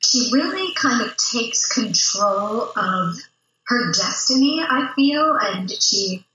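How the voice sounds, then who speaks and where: Female interview guest, playwright: bright tone, conversational, very roomy echoing sound. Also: on the high side, animated, slow, a little hiss or hum.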